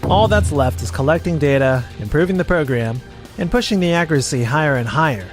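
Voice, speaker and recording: A capable elder brother from a manga story dub, played by a man, blunt and sure of himself as he admonishes. The voice is a touch high and very animated in pitch, with faint music behind it. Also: brisk, natural voice. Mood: matter-of-fact. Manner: assured, admonishing. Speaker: voice actor and narrator in manga dub.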